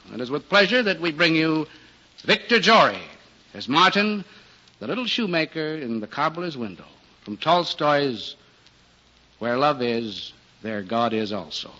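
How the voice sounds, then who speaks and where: A man narrating a 1940s radio drama in a formal, measured storytelling manner. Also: a little high, expressive, bright, forceful tone. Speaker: narrator and announcer. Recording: dull old radio recording. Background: music.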